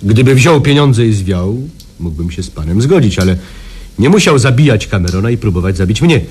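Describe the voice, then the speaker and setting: An actor playing a hardened, middle-aged wilderness guide with an old grudge, speaking in a measured, halting way for a radio drama. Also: male voice, lowish voice, lively intonation, darkish tone, husky. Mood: quiet bitterness. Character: hardened wilderness guide, quietly vengeful former robber. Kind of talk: Polish radio drama acting.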